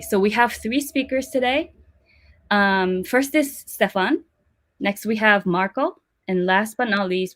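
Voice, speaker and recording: A woman's voice: mid-range voice, a bit bright. A woman working in HR who moderates an online panel, introducing speakers with a friendly, filler-heavy manner.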